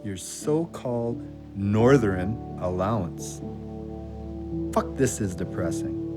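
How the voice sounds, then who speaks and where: An actor playing a hopeful, restless working-class ex-con with slangy, plain-spoken talk in an audio drama. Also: male voice, lowish voice, lively intonation, takes it slowly, over music. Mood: wry, restless. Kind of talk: audio drama acting and monologue.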